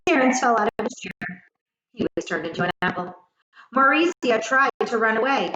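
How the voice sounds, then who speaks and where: Female storyteller for children's read-aloud: dramatic, highly varied pitch, careful phrasing, roomy and slightly dull recording. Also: expressive, moderate pace, noisy background. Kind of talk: expressive scripted children's story narration. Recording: echoey room, slightly muffled sound.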